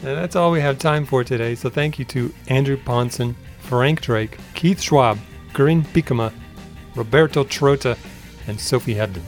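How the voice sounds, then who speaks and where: A man's voice: mid-range voice, normal speed, faint music behind. Man co-host reading a playful sign-off. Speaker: podcast co-host. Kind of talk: scripted podcast outro.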